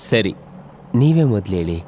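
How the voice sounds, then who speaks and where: A man voicing a young male train traveller in a horror story, chatty and expressive with some hesitation. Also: on the low side, normal speed, a little hiss or hum. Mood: friendly, eager. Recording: music behind the voice.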